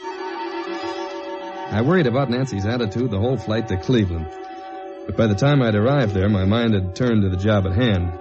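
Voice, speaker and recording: Casting: male actor as understated American G-man, low register, hard-boiled radio drama delivery, dull vintage sound. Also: normal speed, pauses often, lightly acted. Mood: dry, reflective. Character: tall, dogged government investigator narrating his case journal. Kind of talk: 1950s radio detective drama, first-person narration and dialogue. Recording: muffled old radio recording with music.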